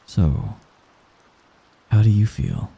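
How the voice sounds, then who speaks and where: A man playing a young mafia heir in an intimate ASMR roleplay, a gentle, hesitant and possessive friend who gives orders apologetically. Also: lowish voice, wildly swooping pitch, creaky, slightly rough, heavily acted. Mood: tender, encouraging. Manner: soft-spoken, halting, apologetic while giving orders.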